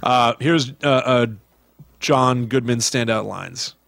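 A man with a mid-range voice co-hosts a relaxed film podcast. He explains movies with conversational enthusiasm, and the sound is dry and close, with hardly any room around it. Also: mid-range voice, slow, gravelly, dry recording. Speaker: podcast co-host. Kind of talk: casual movie-discussion podcast, reading out taglines and quotes.

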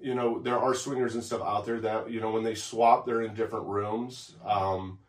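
Low-voiced man in an echoey room. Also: moderate pace. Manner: relaxed, repeats words when starting. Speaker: podcast co-host. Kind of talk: casual chat. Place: home office, on a couch. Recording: echoey room, distant mic.

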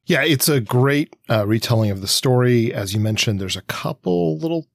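A man co-hosting a podcast, discussing books casually in hesitant, halting phrases. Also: medium pitch, lively intonation, gravelly, dry recording, studio quality. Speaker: podcast co-host.

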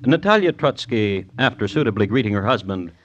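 An actor narrating an old-time radio crime drama as a wry, formal chronicler, measured and dry. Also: male voice, on the low side, sing-song. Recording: dull vintage radio recording, music behind.

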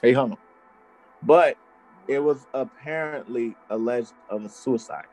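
A man's voice: mid-range voice, a little slow, a little mellow, faint music behind, rough recording. A man co-hosting a podcast, telling a true crime story in casual chat and laughing often. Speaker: podcast co-host.

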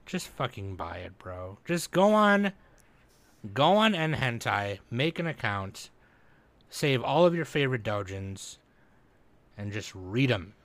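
A man's voice: mid-range voice, sing-song, slow pace, a bit bright, dry recording. A man hosting a podcast in casual chat, talking in profane, bro-heavy slang. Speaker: podcast host.

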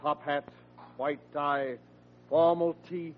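An actor playing a pompous, freeloading uncle who poses as a wealthy gentleman, with haughty, florid enunciation in classic radio comedy style. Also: on the high side, steady tone, takes it slowly. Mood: aloof, dismissive. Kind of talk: 1940s radio comedy acting. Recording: dull, noisy old radio recording.

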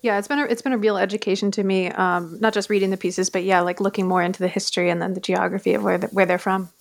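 A woman's voice: medium pitch, fairly even intonation, vocal fry. A woman, a magazine's managing editor, interviewing a guest in a conversational way. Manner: conversational, quick and level.

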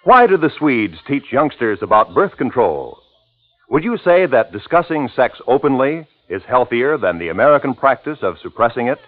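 A male commercial announcer with a mid-pitched, very animated delivery. He reads persuasively in a scripted 1950s radio advertising style. The recording is tinny and narrow. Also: moderate pace. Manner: rhetorical questioning, persuasive.

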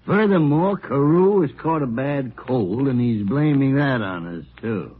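An actor playing a reasonable, mildly wry medical colleague in classic radio drama. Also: male voice, medium pitch, expressive, measured pace, breathy edge. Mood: wry, concerned. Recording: thin phone-like old radio recording.

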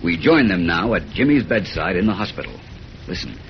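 A man's voice: deep voice, big pitch swings, a little fast, slight background noise. A man narrating a 1940s radio serial in a dramatic, scripted style. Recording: muffled old radio recording.

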